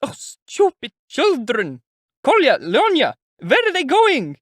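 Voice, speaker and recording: A woman's voice: high-pitched, wildly swooping pitch, slow, no room sound, clean studio sound, voice pushed up, full character voice. A man voicing a desperate, wailing mother who is dying, shrieking at the crowd in a storybook reading. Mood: frantic.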